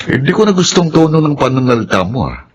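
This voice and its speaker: A man's voice: medium pitch, big pitch swings, quick pace, a little hiss or hum, natural voice. A man playing a domineering, possessive father in a radio drama. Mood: menacing. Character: domineering, possessive rich man demanding his child.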